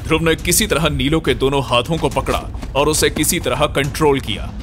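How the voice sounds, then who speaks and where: Slightly high male horror narrator over music. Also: normal speed, fairly bright tone. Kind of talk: dramatic scripted horror story narration. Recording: music bed behind.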